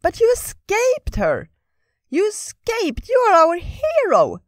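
Super high squeaky girl squirrel from a fairytale, gushing and excitable. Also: slow pace, no room sound, clean studio sound, heavily acted. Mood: thrilled, hopeful. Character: excitable, devoted little female talking squirrel.

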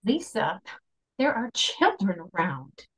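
A woman's voice: medium pitch, sing-song, slow pace, a bit bright, poor audio. A woman playing an exasperated, bickering but proper Italian-American mother in a script table read. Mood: reproving.

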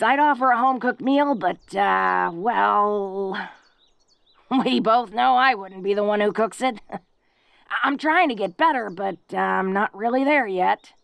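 Orc girlfriend voiced by a woman, tough yet shy and self-deprecating, intimate romantic audio drama; unhurried delivery, dry close sound. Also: mid-range voice, creaky, dry recording. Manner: awkward, halting, self-deprecating, with soft chuckles. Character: tough, big, bashful, sweetly devoted orc girlfriend.

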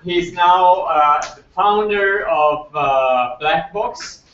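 A man hosting an event, introducing a speaker slowly and hesitantly with many fillers, recorded from afar in a lecture room. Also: high voice, noisy background. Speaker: event host. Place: large lecture room, indoors. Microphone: far camera. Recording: roomy echo, distant, dull lo-fi.

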